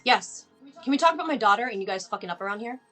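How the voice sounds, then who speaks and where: A woman's voice: fairly high pitch, expressive, racing, faint music behind. A woman playing a frustrated, worried mother who bluntly demands help from the police, in a film table read. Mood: frustrated, insistent. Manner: blunt, exasperated, sharp-tongued.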